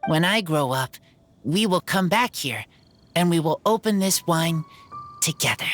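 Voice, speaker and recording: An earnest, simple-spoken teenage wild boy from a video game. The voice is lowish and slow, with halting, plain phrases. There is faint music behind it. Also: lively intonation. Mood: moved, happy.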